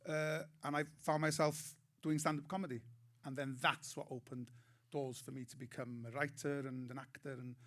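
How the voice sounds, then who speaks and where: A man's voice: mid-range voice, little pitch movement, normal speed, fairly bright tone. A middle-aged Welsh man with a Welsh accent, a comedian speaking as a panel guest, self-deprecating and prone to restarting his sentences. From Wales. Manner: self-deprecating, repeats words when starting sentences. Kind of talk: panel discussion.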